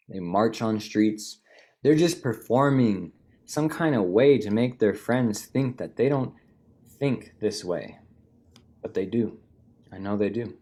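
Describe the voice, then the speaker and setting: A speaker performing a theatrical monologue in a conversational, halting, knowing way. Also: medium pitch, darkish tone.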